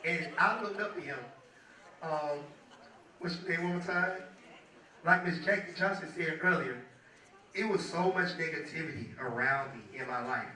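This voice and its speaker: A young man in his twenties with a hoarse voice, giving a casual motivational talk to an audience as a guest music producer. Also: on the high side, moderate pace, pauses often, sharp tone. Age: 20s. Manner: loose and chatty, keeps asking the crowd "you feel me". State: hoarse from talking. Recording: echoey hall, mic at a distance.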